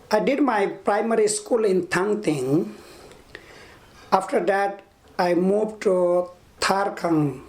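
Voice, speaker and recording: A man in his fifties with a Nepali accent recounts his own life in an interview. He talks very slowly and haltingly, measuring out each phrase. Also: high-pitched, a little mellow. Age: 50s. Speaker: interviewee, army veteran.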